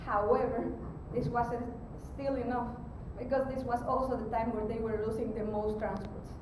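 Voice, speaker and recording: A woman giving an explanatory technical presentation as a conference speaker, pausing hesitantly mid-sentence. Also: little pitch movement. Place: conference room, recorded from a distance. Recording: very echoey, distant mic, rumbling background noise.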